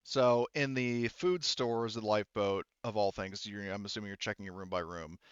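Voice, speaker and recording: Male game master, smooth clear tone, informal and sweary, dry but slightly dull recording. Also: lowish voice, moderate pace, dry recording. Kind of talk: casual improvised tabletop game narration.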